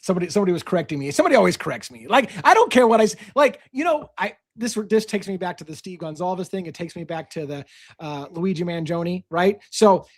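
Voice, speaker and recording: A man on a livestream ranting excitedly and swearing freely. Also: high-pitched, fast. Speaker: co-host of a true crime livestream. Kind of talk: casual livestream chat.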